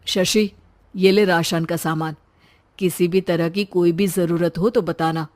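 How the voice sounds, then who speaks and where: A woman's voice: on the low side, fairly even intonation, unhurried, a little mellow, natural voice. A woman voicing a supportive, frank adult brother in a story, caring and emphatic.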